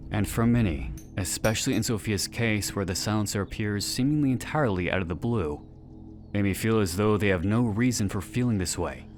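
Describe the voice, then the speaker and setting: A man reading a scripted video-essay narration in a measured, earnest and solemn way. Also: on the low side. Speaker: narrator of a series analysis video. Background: background music.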